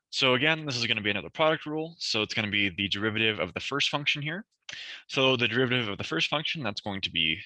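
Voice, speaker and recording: A young man tutoring a math review session, talking through problems step by step and correcting himself, his voice a bit worn as he clears his throat. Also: medium pitch, sharp tone, no echo, rough recording. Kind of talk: recorded review session lecture.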